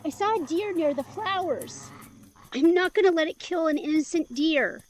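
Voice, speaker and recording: A woman's voice: extremely high pitch, expressive, moderate pace, a little hiss or hum. A woman playing an eager, playful, stubborn young woman in an intimate audio drama, perky and insistent. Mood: alarmed.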